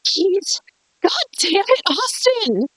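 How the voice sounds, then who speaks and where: A woman's voice: very high voice, very animated, slow pace, a bit bright, dry recording, heavily acted, low-quality recording. A woman playing a bratty, flippant, foul-mouthed young woman with a teasing, sneering delivery in a horror script table read. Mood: panicked.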